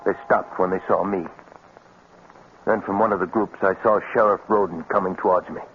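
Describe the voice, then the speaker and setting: A man's voice: low voice, fairly even intonation, normal speed, natural voice. An actor playing an anxious accused working man in a 1950s radio drama. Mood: grim recounting. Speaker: actor narrating and playing the lead. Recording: muffled, noisy vintage radio recording.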